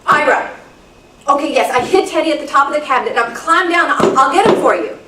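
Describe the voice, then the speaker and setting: A woman playing an exasperated young babysitter growing frightened in the dark, in an amateur radio thriller. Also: high-pitched, expressive, fairly quick. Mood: stern, coaxing. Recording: echoey room, distant mic, background noise.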